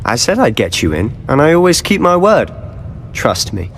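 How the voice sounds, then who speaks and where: A man's voice: on the high side, sing-song, normal speed, vocal fry. A man playing a confident, roguish young student in a video game, with a British accent and an assured, conspiratorial manner. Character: confident, roguish male student schemer, loyal and cheeky. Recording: long reverb, faint noise.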